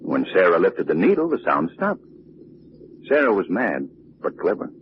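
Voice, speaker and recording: An actor playing a calm, knowing gentleman sleuth, explaining things in a measured way in an old radio drama. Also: low-pitched. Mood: explanatory. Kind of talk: 1940s radio drama acting. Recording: muffled, noisy old-time radio recording.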